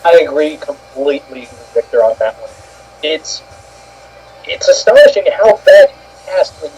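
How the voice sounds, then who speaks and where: A man's voice: on the high side, big pitch swings, normal speed, darkish tone, slight background noise. A man co-hosting a casual, opinionated chat, heard through slightly dull, muffled audio. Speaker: co-host giving opinions.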